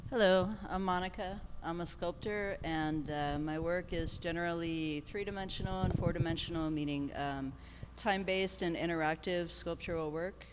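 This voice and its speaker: A woman sculptor introducing her work on a panel, pausing on uhs and ums. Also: on the low side, steady tone, in a large hall, slight background noise. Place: art gallery.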